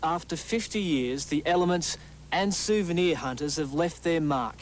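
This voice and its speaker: A man's voice: on the high side, moderate pace, music in the background. A man with an Australian accent giving a scripted television news report.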